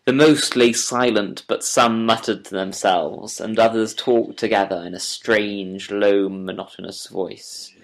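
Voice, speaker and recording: A man narrating a first-person audiobook story with measured, literary, deliberate phrasing. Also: on the low side, fairly even intonation, vocal fry. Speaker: audiobook narrator reading as Doctor Watson.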